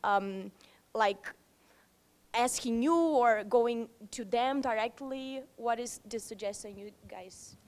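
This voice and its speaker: Audience woman, a touch high-pitched, wordy question with fillers. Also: takes it slowly. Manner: wordy, repeats herself, many fillers. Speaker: audience member asking a question.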